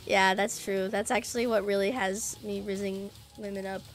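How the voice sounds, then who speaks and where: A young woman streaming a game while sick, her voice raspy, swearing, joking with chat and singing la-la to herself. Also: medium pitch, flat delivery, moderate pace. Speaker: VTuber streamer. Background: faint game music.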